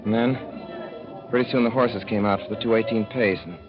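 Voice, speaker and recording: A man's voice: fairly low pitch, fairly even intonation, moderate pace, natural voice. A man playing a folksy young racegoer. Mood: earnest. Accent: Midwestern American. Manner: folksy, rambling, boyish. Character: naive young male racegoer telling his story. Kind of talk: 1930s radio drama narration. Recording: dull 1930s radio broadcast with music.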